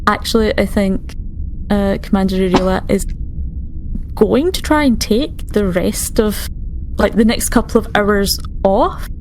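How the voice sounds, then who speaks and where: Female tabletop player, mid-range pitch, gravelly fry, thoughtful halting roleplay description, slight noise. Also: darkish tone, rough recording. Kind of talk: casual improvised roleplay description.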